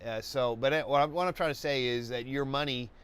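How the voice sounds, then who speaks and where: A man hosting a live painting webcast, congested and stuffy, talking in a slow, rambling way with many restarts and fillers. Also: medium pitch, a bit bright, smooth. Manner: halting, many restarts and fillers, laid-back. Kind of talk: rambling live-stream chat.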